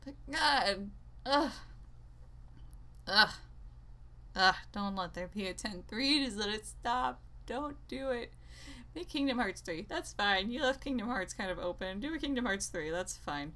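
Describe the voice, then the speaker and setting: A woman's voice: mid-range voice, expressive, a little slow, pushed and bright. A woman gaming creator ranting casually and off the cuff, exasperated and rambling, often interrupting herself. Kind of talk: unscripted opinionated rant to viewers.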